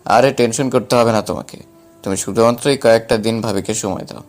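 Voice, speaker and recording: A man's voice: fairly low pitch, a little fast, faint music behind, close to an everyday voice. A man playing an assertive, caring younger brother in an audio story drama. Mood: insistent, reassuring.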